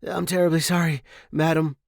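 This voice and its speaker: A man voicing an anxious, polite male scholar in an audiobook story, with a flustered, breathy manner. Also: fairly high pitch, level tone, fairly quick, no echo, studio quality, voice pushed up. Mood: breathless, apologetic.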